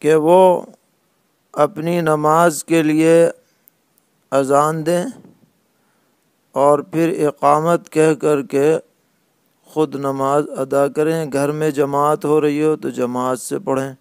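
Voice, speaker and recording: Male religious scholar, very unhurried and deliberate, slightly high-pitched, giving plain rulings in question-and-answer form. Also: flat delivery, smooth, no echo. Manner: measured, deliberate, pausing mid-sentence. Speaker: Islamic scholar (mufti).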